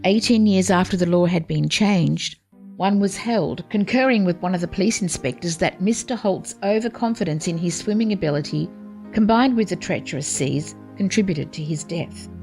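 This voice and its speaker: A woman narrates a historical script in a formal, measured way. Her voice is on the low side, with music clearly playing behind her. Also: darkish tone.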